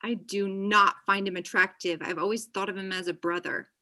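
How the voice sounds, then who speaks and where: A woman's voice: medium pitch, fairly even intonation, moderate pace, pushed and bright, natural voice. A young woman acting a blunt, sarcastic, apologetic best friend in a naturalistic script read over a video call. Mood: brash, defensive. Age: young adult. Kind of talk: acted pilot table read, naturalistic. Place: small room at home, video call. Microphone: computer video-call mic.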